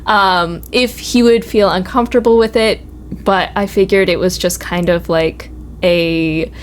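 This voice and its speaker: A woman's voice: on the low side, steady tone, measured pace. A woman in her twenties chatting and telling personal stories casually as a guest, with some noise behind her. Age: 20s. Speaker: university program administrator, guest. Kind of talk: casual conversational storytelling. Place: office. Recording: noticeable background noise.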